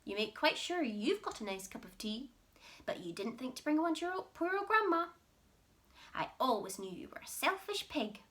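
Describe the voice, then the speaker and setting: A woman voicing a huge, bossy, greedy old grandmother who shouts and scolds in a shrill voice. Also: sing-song, normal speed. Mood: scolding.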